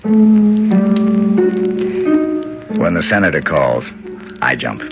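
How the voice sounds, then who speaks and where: An actor playing a senator's devoted aide, speaking in a measured, confiding way in a 1970s radio drama. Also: male voice, sits low, huge pitch swings, lo-fi sound, exaggerated voice. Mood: confiding, grave. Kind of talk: 1970s radio drama first-person narration. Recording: old radio recording under loud music.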